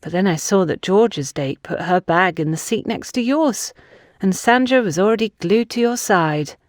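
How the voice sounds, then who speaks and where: A woman's voice: mid-range voice, animated, moderate pace, no echo, studio quality, close to an everyday voice. A woman voicing an older, gossipy society mother in an audiobook, chatty, dramatic and insistent. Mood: probing.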